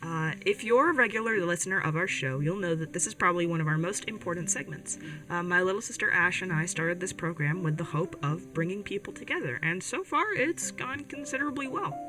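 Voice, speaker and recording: A woman's voice: on the low side, expressive, brisk, natural voice, low-quality recording. A woman playing an earnest, caring older sibling who hosts a community radio show in an audio drama, chatty and a little hesitant. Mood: warm, hopeful. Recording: music bed behind the voice.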